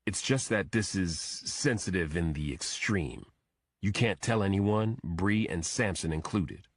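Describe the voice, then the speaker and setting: A man voicing a terse, low-voiced FBI agent. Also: a little slow, no room sound, studio quality. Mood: guarded, serious. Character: serious male FBI agent briefing a colleague. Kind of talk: audiobook character dialogue.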